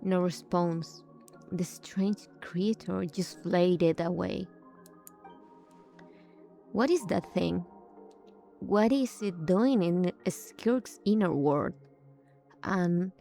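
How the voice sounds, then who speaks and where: A woman streaming a video game, giving casual, expressive and blunt reaction commentary. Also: fairly low pitch, very slow, vocal fry, long pauses, soft background music.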